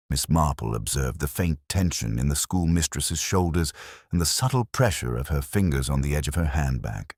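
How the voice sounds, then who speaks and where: Really deep guy reading a mystery audiobook, formal and measured, pitch moves around a lot, dry sound. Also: a little mellow, no echo. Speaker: audiobook narrator. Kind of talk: scripted mystery story narration.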